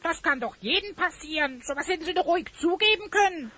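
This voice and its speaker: A woman's voice: extremely high pitch, animated, quick pace, sharp tone, no echo, heavily acted. A woman voicing a small, cheeky, sympathetic creature sidekick in a German audio drama, eager and childlike. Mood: comforting.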